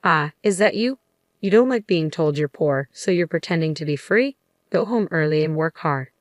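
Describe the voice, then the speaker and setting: Read by a woman, a sneering, condescending salesman barks orders and brushes people off. The voice sits low and dark, and the sound is dry and close. Every word drips with arrogance. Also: expressive, normal speed, no room sound. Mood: scornful. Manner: condescending, scolding. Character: rude arrogant male real estate salesman.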